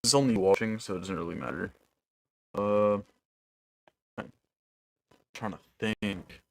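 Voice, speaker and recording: A man's voice: fairly low pitch, a little slow, vocal fry, slight background noise. A young man producing music on a livestream, chatting loosely with his viewers in a rambling, casual way. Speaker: music producer streaming live.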